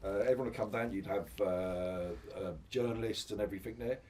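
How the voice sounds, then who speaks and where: A man's voice: fairly low pitch, brisk, darkish tone, distant mic. A middle-aged man, a shop manager, telling rambling anecdotes with many restarts in a casual interview.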